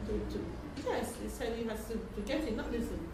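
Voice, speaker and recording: A woman's voice: on the high side, expressive, brisk. A woman recounting events in a group discussion, correcting herself as she goes and agreeing emphatically. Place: indoors at a community centre, distant mic. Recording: echoey room from far off, noisy.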